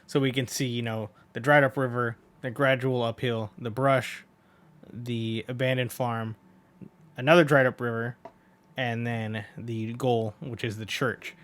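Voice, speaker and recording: A man's voice: medium pitch, flat delivery, unhurried, a bit bright. A man hosting a manga read-along in a casual, informal way, with rambling asides between the lines he reads.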